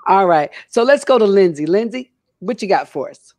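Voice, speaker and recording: A woman's voice: medium pitch, expressive, normal speed, a little mellow, no room sound. An older woman hosting a casual panel chat on a video call from home, speaking emphatically and repeating phrases for stress. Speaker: talk show host.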